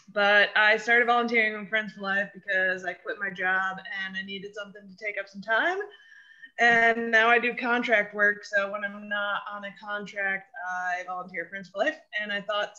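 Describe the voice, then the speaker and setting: A woman's voice: medium pitch, pushed and bright. A woman volunteer introducing herself on a video call in an upbeat, casual way. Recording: dull lo-fi.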